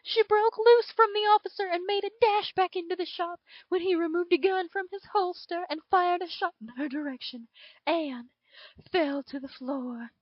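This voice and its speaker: A woman's voice: very high-pitched, normal speed, dry recording, highs cut off. A woman voicing a drawling southern dragon. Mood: dramatic. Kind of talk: reading fan fiction aloud.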